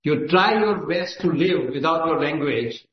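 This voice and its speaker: A man's voice: fairly high pitch, lively intonation, unhurried. A man with an Indian accent, a native Gujarati speaker, giving an emphatic, declamatory speech at a meeting. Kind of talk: impassioned speech. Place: assembly hall. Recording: echoey lo-fi hall recording.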